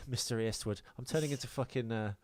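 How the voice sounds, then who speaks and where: A man's voice: mid-range voice, moderate pace, a little mellow, a little hiss or hum. A man co-hosting a podcast in casual film chat, rambling and jokey as he goes through his notes. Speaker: podcast co-host.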